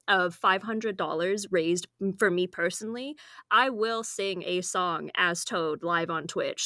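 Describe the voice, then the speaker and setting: A woman's voice: medium pitch, sharp tone, dry recording, clean studio sound. A woman co-hosting a podcast in casual chat, talkative and laughing often, her voice slightly strained from screaming. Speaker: podcast co-host.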